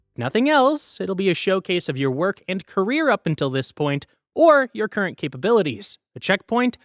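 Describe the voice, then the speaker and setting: Male presenter for an informal online lesson. Slightly high voice, very animated pitch, conversational and emphatic. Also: no room sound. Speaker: voice acting workshop presenter.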